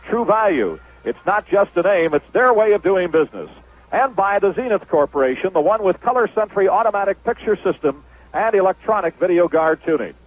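A man with a high-pitched voice delivers a baseball radio wrap-up in the manner of a 1970s announcer. The sound is dull and lo-fi, like an old broadcast. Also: dry recording. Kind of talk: 1970s radio sports wrap-up, reading scores and sponsors.

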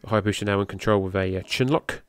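A middle-aged man with a slightly low voice calls a wrestling match in loose, rambling podcast commentary. He talks casually and swears here and there. He rises in pitch and volume when the big moves land. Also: fast talker, clean tone, no echo. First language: English. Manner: loose, rambling, swears casually, gets excited at high spots.

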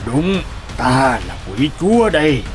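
A man voicing an arrogant hulking male demon king who speaks in a scornful, commanding way, in an animated folk tale. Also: fairly high pitch, slow pace, faint music behind. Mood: stern.

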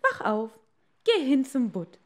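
Woman acting a greedy, imperious wife, high-pitched. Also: very animated, slow pace, a little mellow, heavily acted. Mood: demanding. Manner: demanding, haughty. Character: greedy, imperious fisherman's wife craving ever more power.

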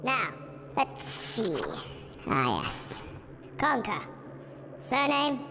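A man's voice: very high voice, sing-song, drawn-out words, gravelly, background noise, heavily acted. A man voicing a short, put-upon Grim Reaper in a video game, British, irritable and sardonic as he grumbles and swears. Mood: grumpy, irritable. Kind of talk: video game cutscene dialogue.

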